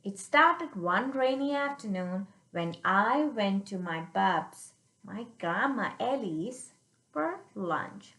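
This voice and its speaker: Lady doing storytime for kids, slow n very expressive, stops to explain, echoey room. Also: mid-range voice. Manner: animated, checks understanding with listeners. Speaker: storyteller reading to children. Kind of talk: read-aloud with simple explanations.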